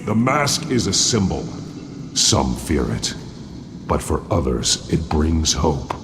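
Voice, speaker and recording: An actor playing a grim masked vigilante in a video game, speaking in a low growl with terse, solemn authority. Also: male voice, very animated, slow pace, background noise, exaggerated voice. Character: grim masked male vigilante, stern and resolute. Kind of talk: video game cutscene acting. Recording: roomy echoing hall, music behind.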